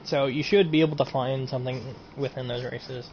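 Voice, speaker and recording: A man's voice: medium pitch, steady tone, fairly quick. A man streaming a casual game mod showcase, rambling and repeating himself as he trails off. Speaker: gaming streamer. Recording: dull lo-fi home recording, faint noise.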